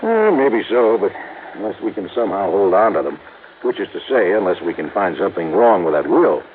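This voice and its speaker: A man's voice: deep voice, sing-song, fairly quick. An actor playing a blunt, plain-spoken male police sergeant in an old radio drama. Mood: matter-of-fact. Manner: plain-spoken, matter-of-fact. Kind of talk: 1950s radio drama acting. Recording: muffled old radio broadcast with hiss.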